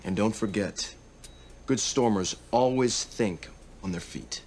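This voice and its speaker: An actor playing a tough, sardonic officer and stern older brother in a video game cutscene, gruff and commanding. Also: male voice, lowish voice, takes it slowly, a little mellow, a little hiss or hum. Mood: gruff, instructing.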